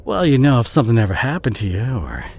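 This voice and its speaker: A man with a Southern US accent playing a kind, protective, bashful country boy in an audio roleplay, speaking in a gentle, folksy, hesitant way with a husky voice. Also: mid-range voice, huge pitch swings, fast, slight background noise. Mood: earnest concern. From southern United States. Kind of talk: audio roleplay acting, addressed to the listener.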